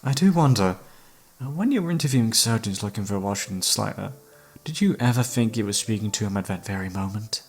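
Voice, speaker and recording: A man's voice: lowish voice, animated, fairly quick, breathy edge, faint music behind. A man playing an obsessive, flamboyant serial killer in an ASMR audio drama, flirtatious and menacing, with a taunting, mock-polite manner. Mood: boastful, indignant.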